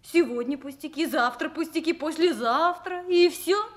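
An actress playing an impatient young officer's wife in a Russian radio drama, with an emotional, plaintive manner. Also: female voice, high-pitched, normal speed, lightly acted. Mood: indignant, fretful. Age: young adult.

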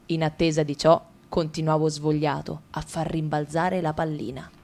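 A woman reading a first-person passage in an Italian radio drama, calm and measured. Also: low voice. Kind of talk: literary radio drama narration.

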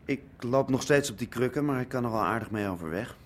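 Young guy doing a sulky son on crutches, sarcastic, lively up-and-down voice, low rumble. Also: fast, natural voice. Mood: grumpy. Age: young adult. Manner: grumpy, sarcastic. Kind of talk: radio play acting.

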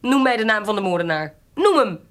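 An actress playing a proud, defiant young woman in her twenties in a period radio drama, commanding, accusing and sharp-tongued. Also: medium pitch, very animated, a little fast. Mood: accusing, forceful. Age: 20s.

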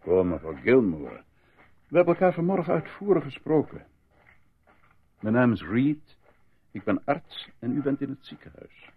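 An actor playing a calm, formal male doctor at a military hospital, soothing and measured, in a radio drama. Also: mid-range voice, breathy edge, phone-quality sound. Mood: composed, formal.

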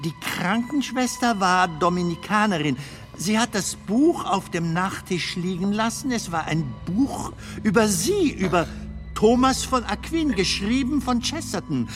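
Soft-hearted childlike old comedian, slow halting talk, very lively pitch, radio play with music under it. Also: male voice. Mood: wondering, tender. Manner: halting, tender, questioning. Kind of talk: German radio drama acting.

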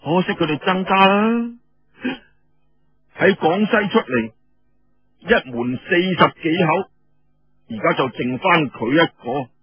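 Man acting swaggering husky rebel officer. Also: a little high, animated, takes it slowly, pauses often, lo-fi sound, soft background music, exaggerated voice. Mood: regretful. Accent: Cantonese. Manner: blustering, mocking, repeats words. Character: swaggering, teasing male rebel officer with a revolver.

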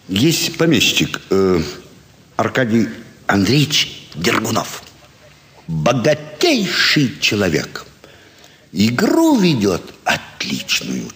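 A sly, self-assured card cheat in a classic stage play. His pitch swoops widely as he draws out his tale slowly, savouring every phrase. His voice rings through a long hall reverb. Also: exaggerated voice. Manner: theatrical, savouring, drawn-out storytelling with dramatic pauses. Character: sly, self-assured male card sharper relishing his own cunning. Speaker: actor in an audio play. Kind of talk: theatrical classic stage comedy dialogue, storytelling monologue.

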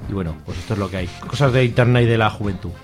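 Fast-talking colloquial man from a Spanish radio chat. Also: fairly low pitch, soft background music. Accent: Spain. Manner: colloquial, jokey. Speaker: radio show co-host. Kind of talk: casual comics review chat.

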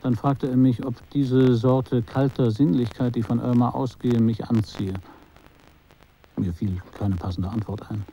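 An actor playing a detached, observant photographer who tells his story in a radio play, measured and matter-of-fact. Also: medium pitch, steady tone, mellow, highs cut off, slight background noise, natural voice. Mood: detached, reflective. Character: detached, observant male art photographer recounting his encounters. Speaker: narrator and main character of a radio play. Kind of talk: literary first-person radio drama narration.

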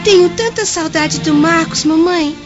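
A woman's voice: very high voice, a little slow. A woman playing a sweet, worried little girl in a radio drama. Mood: sad, puzzled. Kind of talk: radio drama acting in Portuguese. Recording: muffled radio drama under loud music.

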